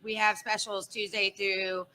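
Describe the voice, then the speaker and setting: A woman with a Midwestern American accent, on a live bar show listing the food and drink specials, easygoing and quick to laugh. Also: mid-range voice, flat delivery, sharp tone, poor audio. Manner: laughs often, friendly and easygoing. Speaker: bar staff announcing specials. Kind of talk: casual live chat.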